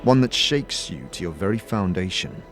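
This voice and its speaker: A man with a low voice narrates a fantasy audio story in the second person. His delivery is measured and deliberate, with emphatic phrasing. Music plays prominently behind him. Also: animated, a bit bright. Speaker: narrator and game master of an audio series.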